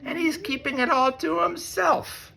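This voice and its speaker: A man voicing a small, bold, sneaky female mouse who leads her team in hushed, commanding tones in a children's storybook. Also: very high-pitched, measured pace, creaky, voice pushed up, full character voice. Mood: daring. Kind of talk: children's storybook read-aloud.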